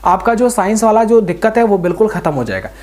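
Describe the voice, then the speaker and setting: A woman's voice: mid-range voice, sing-song, quick pace, a little mellow. A woman giving informal exam coaching, speaking directly to students and repeating points for emphasis. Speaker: exam-prep teacher.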